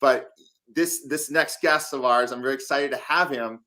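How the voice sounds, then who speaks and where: A man's voice: mid-range voice, normal speed, pushed and bright. Male host, conversational interviewer, mid-range voice.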